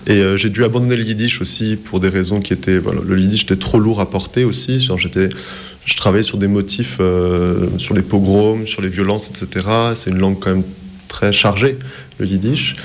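A man's voice: deep voice, steady tone, a little fast. A man in his forties from Paris, an author answering interview questions thoughtfully, often hesitating and restarting his sentences. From France, Paris. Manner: hesitant, searching for words with many restarts.

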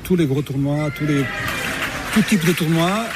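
A man's voice: fairly high pitch, normal speed, very noisy. A middle-aged man from Portugal, a tennis referee being interviewed, talking with many hesitations and restarts. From Portugal, born in Mozambique, raised in Lisbon. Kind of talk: radio interview, recounting career.

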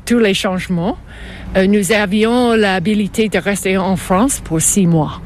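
An older woman from Canada, a native English speaker with an English Canadian accent, answering interview questions in halting second-language speech. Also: mid-range voice, a little mellow, a little hiss or hum. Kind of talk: casual conversational interview.

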